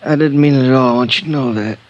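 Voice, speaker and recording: An actor playing a dying, bitter small-time burglar in an old radio crime drama, speaking in halting, clipped fragments. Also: male voice, medium pitch, lightly acted. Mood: remorseful, halting. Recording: dull old radio recording.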